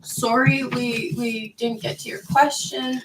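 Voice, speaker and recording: Woman co-host, a little high, hesitant reader, faint noise behind. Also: little pitch movement, recorded from a distance. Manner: slow, hesitant, with fillers. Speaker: co-host reading viewer questions. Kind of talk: live-stream chat.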